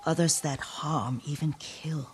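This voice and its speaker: A woman playing an elderly, devoted servant and herbalist in a video game, speaking softly and breathily with a gentle, deferential, motherly manner. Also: sits low, steady tone, a little slow. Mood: grave, tender. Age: older. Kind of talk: cinematic video game acting. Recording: music behind.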